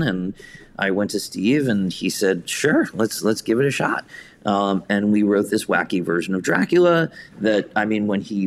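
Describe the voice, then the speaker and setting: A man's voice: low voice, slight background noise. A man speaking casually as an interview guest, telling anecdotes and acting out bits of remembered conversation. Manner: anecdotal, quoting conversations in his own voice. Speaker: guest, theatre director and writer.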